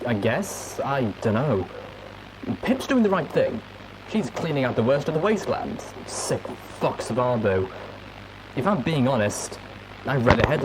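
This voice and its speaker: A man talks casually to his audience as a show host, subdued and hesitant. His mid-pitched voice moves up and down freely, and heavy noise runs behind him. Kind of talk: casual, halting commentary to audience.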